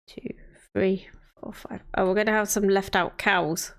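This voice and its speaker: Woman in her 30s streaming, chatty, thinks out loud, says hi to chat. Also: mid-range voice, steady tone, a little slow. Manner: chatty, thinks aloud, greets viewers by name. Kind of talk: casual live-stream chat while playing a city-building game.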